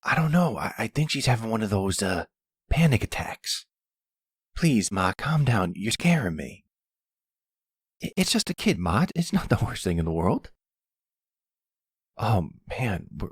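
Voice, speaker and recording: Man playing whiny, hot-headed young son, raised pitch. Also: big pitch swings, normal speed, long pauses, slightly rough, no echo, clean studio sound. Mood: panicked. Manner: whiny, defiant, stammering when rattled. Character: hot-headed, panicky young adult son in mob trouble. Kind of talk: audio roleplay acting.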